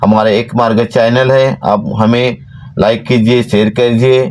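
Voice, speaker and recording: A man, giving an earnest, exhorting devotional talk to followers from inside a parked van. His pitch rises when he stresses a point. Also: little pitch movement, a little slow, a little mellow. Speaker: devotional channel host.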